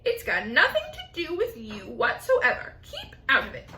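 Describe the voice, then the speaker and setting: A greedy, gloating aunt who shouts in a high, bright voice, as a woman reading a storybook puts her on. Her lines swing up and down with loud relish, and the room around her rings with echo. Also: wildly swooping pitch, moderate pace, slight background noise, exaggerated voice. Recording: roomy echo.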